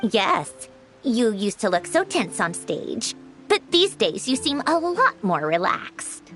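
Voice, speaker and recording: Gracious, high-voiced young woman. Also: very animated, fairly bright tone, faint music behind, exaggerated voice. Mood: sincere, admiring. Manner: courteous, measured, sincere. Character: gracious, composed, reflective young woman from abroad. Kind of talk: video game voice acting.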